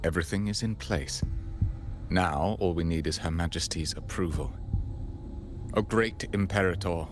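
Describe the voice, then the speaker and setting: An actor playing a fanatical, gloating cult villain in a video game, speaking in a solemn, grandiloquent, theatrical way. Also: male voice, sits low, normal speed, pauses often, a little hiss or hum, close to an everyday voice. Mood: solemn devotion.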